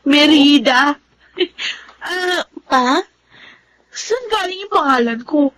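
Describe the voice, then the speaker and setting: A woman's voice: high voice, expressive, slow pace, a bit bright, full character voice. A woman acting in a Tagalog radio drama as an affectionate, grateful, girlish daughter, speaking tenderly and expressively. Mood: curious, affectionate.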